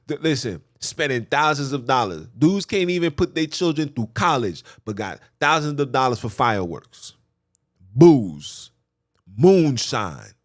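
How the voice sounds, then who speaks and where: A man hosting a podcast, preaching a monologue with animated, emphatic delivery, often jumping high in pitch and swinging between loud and hushed. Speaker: podcast host.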